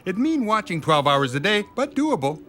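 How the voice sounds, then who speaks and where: A voice actor playing an excitable grown man who gushes about a fantasy show. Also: high-pitched. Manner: eager and gushing, rushing his words. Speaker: voice actor at a table read.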